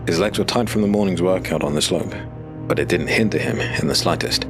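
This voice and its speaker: A man narrating a scripted dark fantasy story as an audiobook narrator. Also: deep voice, fairly quick, music in the background.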